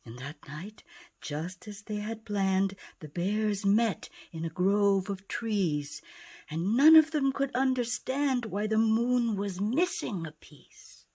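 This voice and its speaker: A woman reading a rhyming picture book expressively, with dramatic delivery that dips into hushed tones. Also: mid-range voice, animated, slow pace. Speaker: author and narrator.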